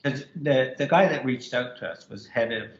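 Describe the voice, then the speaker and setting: A man's voice: mid-range voice, lively intonation, normal speed, a little hiss or hum. A man, co-author of a book, talking loosely with many restarts in a virtual author interview. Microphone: video call. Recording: roomy, muffled video call.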